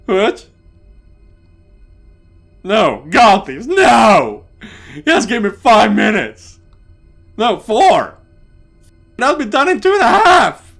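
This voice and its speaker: A man voicing a frantic, whiny, lustful young man in a comedic animated story, pleading and yelling excitably. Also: sits high, slow, vocal fry, few pauses, soft background music, voice pushed up, full character voice. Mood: desperate pleading.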